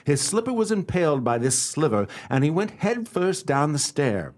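An actor playing a self-assured, courteous male detective in a radio play, speaking formally and deliberately as he explains. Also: a little high, very animated, darkish tone. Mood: confident, explanatory. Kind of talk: radio play acting and narration.

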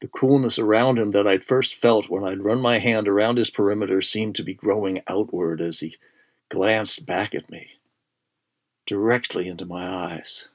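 Low-voiced man telling a ghost story. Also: fairly even intonation. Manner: measured, suspenseful, with deliberate pauses and hushed phrases. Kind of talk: dramatic first-person ghost story narration.

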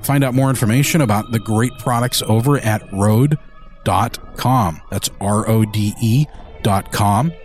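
Man announcing a podcast over music. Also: mid-range voice. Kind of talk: scripted show announcement.

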